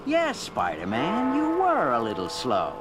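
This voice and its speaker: A man's voice: mid-range voice, huge pitch swings, unhurried, background noise. A man playing a boastful, megalomaniac supervillain in a theatrical superhero audio drama. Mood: smug. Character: gloating megalomaniac male supervillain sound engineer.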